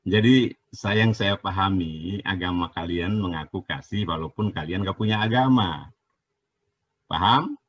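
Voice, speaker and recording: A husky-voiced man takes part in a religious debate over dull lo-fi audio. He insists on being heard, repeating his phrases and pleading for the chance to finish. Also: low voice, unhurried, dry recording.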